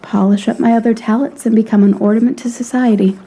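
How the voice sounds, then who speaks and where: A woman's voice: a little high, fairly even intonation, quick pace, dark tone, slightly rough. A woman playing a proud, frank young lady in a period audio drama, speaking in a refined, articulate and earnestly reproachful way. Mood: proud, resigned.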